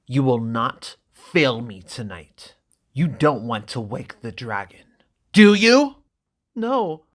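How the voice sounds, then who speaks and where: A man's voice: mid-range voice, very animated, slow pace. A man voicing an arrogant, scheming young exiled prince who threatens his sister. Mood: menacing. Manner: haughty, threatening.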